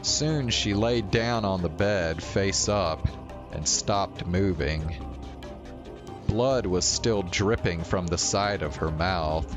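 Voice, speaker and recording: A man streaming a game, reading visual novel narration aloud in a measured storytelling way. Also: low voice. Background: game music.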